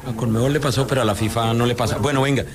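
A man's voice: medium pitch, steady tone, very fast, background noise. A man with a Colombian accent hosting casual live sports talk on the radio.

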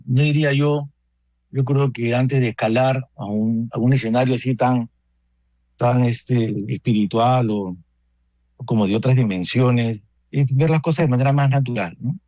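Peruvian-accented male priest, radio guest, thoughtful, halting delivery, dull dry line. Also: mid-range voice, dark tone, no echo. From Peru. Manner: halting, thoughtful, many restarts and fillers.

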